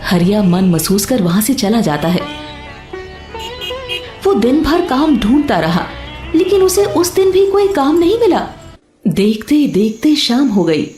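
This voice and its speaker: A woman's voice: sits high, roomy sound. A woman narrator reading a scripted story. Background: soft background music.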